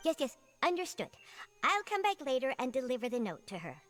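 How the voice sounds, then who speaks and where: High-pitched, fussy little creature aide. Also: female voice, sing-song, fairly quick, a bit bright, soft background music. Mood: exasperated, resigned. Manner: exasperated, officious, stammering when flustered. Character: small, fussy, flustered female Melusine creature, court aide. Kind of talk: fantasy video game voice acting.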